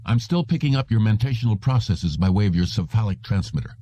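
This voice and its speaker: A man voicing a calm, menacing policeman of the future in an audiobook, measured and smug. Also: on the low side, no room sound. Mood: coolly menacing.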